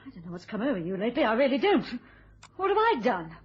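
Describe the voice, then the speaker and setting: An actress playing a worried, reproachful British wife in a fretful, pleading radio thriller style. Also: female voice, a little high, sing-song, fast talker, husky, full character voice. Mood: frightened, pleading. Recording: dull lo-fi radio drama recording.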